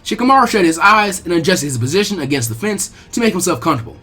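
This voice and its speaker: Brisk, animated man narrating a story. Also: sits high, slightly rough, soft background music. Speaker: fan-fiction narrator.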